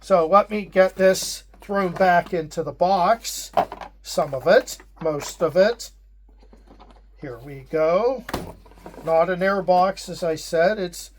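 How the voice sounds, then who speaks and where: Slow, measured man with a Pittsburgh accent. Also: high voice, a little hiss or hum. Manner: unhurried, measured, with frequent hesitations. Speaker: wargame channel host. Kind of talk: casual unboxing narration.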